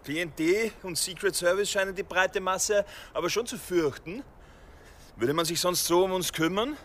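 A man's voice: high-pitched, normal speed, a bit bright, a little hiss or hum. An actor playing an anxious man on the run in a radio crime drama, arguing and speculating in questions. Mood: anxious speculation.

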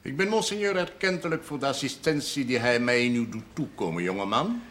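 A man acting a pompous older parish priest in a TV drama. His intonation swings widely as he delivers ornate, deliberate sentences with great self-satisfaction. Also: medium pitch, lively intonation, fairly bright tone, a little hiss or hum, natural voice. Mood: pompous, stately.

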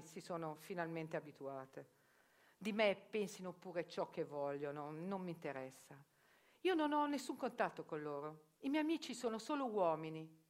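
A woman's voice: on the low side, lightly acted. An actress playing an older Albanian sworn virgin who lives as a man, telling her story in a proud, matter-of-fact theatre monologue. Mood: defiant. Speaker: actress performing a theatrical reportage.

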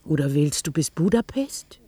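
An actress playing a clingy, reproachful, well-meaning old friend in a radio drama, with a breathy voice and a fussy, nagging way of talking. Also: female voice, sits low, big pitch swings, normal speed, warm tone, no room sound, full character voice. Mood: fussy, coaxing. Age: older. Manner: fussy, nagging, self-righteous. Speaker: supporting actor in a radio drama.

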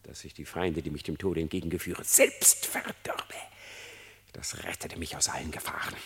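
An actor playing a cunning, lying fox who feigns pious repentance in a theatrical, slippery manner for a radio play in verse. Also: male voice, a bass voice, fairly even intonation, moderate pace, fairly bright tone. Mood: mournful pleading. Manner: theatrical, slippery, swinging from whispers to outcries.

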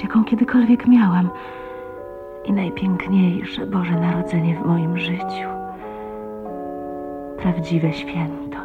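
An actress playing a shy, tender, anxious young wife in a radio drama, speaking in a breathy, hesitant, intimate way. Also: female voice, on the low side, natural voice. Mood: touched, delighted. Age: young adult. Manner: breathy, hesitant, emotive, often half-whispered. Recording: dull, muffled old radio recording.

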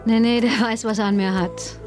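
A woman's voice: a little high, steady tone, normal speed, darkish tone, soft background music, natural voice. An actress playing Susi, a blunt young woman who talks in an offhand way. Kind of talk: radio drama acting.

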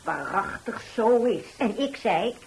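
An actress playing a chatty, persuasive, well-meaning busybody neighbour in a radio drama, with a gossipy way of talking. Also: female voice, a little high, animated, takes it slowly, slight background noise. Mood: gossipy, pleading. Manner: gossipy, repeats who said what.

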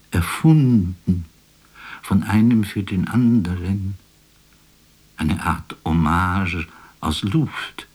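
A wistful poet in a literary radio play. His voice is deep and rough-edged, and he speaks slowly and lyrically, weighing his words with a tired, dreamy air. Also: a little mellow. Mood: wistful musing. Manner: musing, measured, lyrical. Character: wistful, weary male poet imagining a phone call. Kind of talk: literary radio drama monologue.

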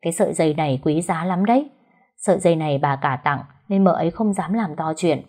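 A woman doing a sly young master in a spoken story, talking quickly and bossily in a low, husky tone close to her own voice. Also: dark tone. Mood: scheming.

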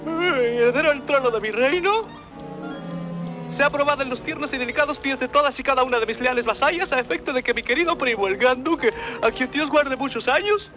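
Very high-voiced pompous grand duke. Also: male voice, a little fast, sharp tone. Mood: pompous, official. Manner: pompous, flowery, faltering with hesitations. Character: fussy, doddering, pompous grand duke reading decree. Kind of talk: children's audio fairy tale acting. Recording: music behind the voice.

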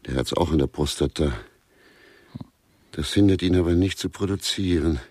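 An actor playing a fretful, reclusive older man with a husky voice, who rambles and complains in a radio play. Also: extremely low pitch, darkish tone, dry recording, exaggerated voice. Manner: rambling, complaining, losing his thread. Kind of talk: German radio play dialogue.

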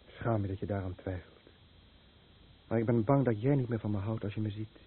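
An actor playing an anguished, guilt-ridden fisherman scarred by fallout, in a Dutch radio play with grave, measured delivery. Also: lowish voice, natural voice. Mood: sombre, tender. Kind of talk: Dutch radio play acting, dramatic narration. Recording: muffled, narrow-band old radio recording with noise.

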